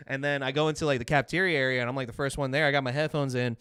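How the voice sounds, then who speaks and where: A man hosting a podcast in casual, animated chat, telling comic stories with plenty of slang and swearing. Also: medium pitch, racing, smooth, no echo, clean studio sound. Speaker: podcast host.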